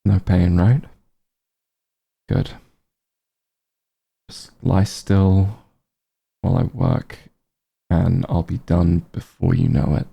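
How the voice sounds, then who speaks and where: A man playing a gentle, concerned doctor who is an old friend, speaking slowly and soothingly in a soft ASMR roleplay, with a low, husky voice. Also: steady tone, dull audio, full character voice. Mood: calm, reassuring. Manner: slow, soothing, reassuring.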